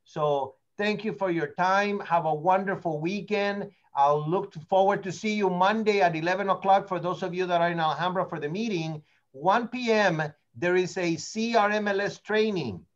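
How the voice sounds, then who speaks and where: Older man opinionated trainer with high voice. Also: normal speed, highs cut off. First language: not English. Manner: opinionated, digressive, repeats words. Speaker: real estate trainer. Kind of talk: rambling training talk. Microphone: meeting recording.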